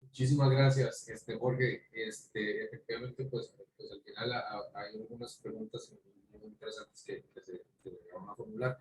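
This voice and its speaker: A man's voice: medium pitch, normal speed, darkish tone. A man moderating a webinar, handing over the floor hesitantly with filler words like "este" and "pues". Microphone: video call. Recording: echoey call with faint noise.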